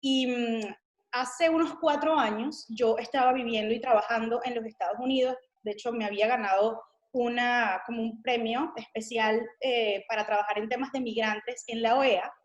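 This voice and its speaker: A Venezuelan woman in her thirties speaks with a Venezuelan Spanish accent and a voice that sits a little on the high side. She tells her own testimony earnestly and reflectively, with frequent small fillers. The room around her is echoey. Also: steady tone, normal speed. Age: 30s. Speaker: missionary guest. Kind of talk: interview, personal testimony.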